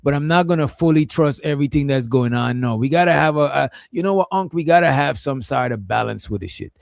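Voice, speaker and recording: A man's voice: medium pitch, lively intonation, a little fast, creaky, dry recording, studio quality. A man hosting a casual stream, reacting to a clip in a laid-back, profane, rambling way.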